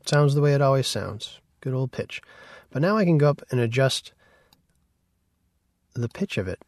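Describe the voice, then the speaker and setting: A man giving a casual, conversational software tutorial as an instructor. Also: mid-range voice, animated, moderate pace, no room sound. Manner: informal, conversational.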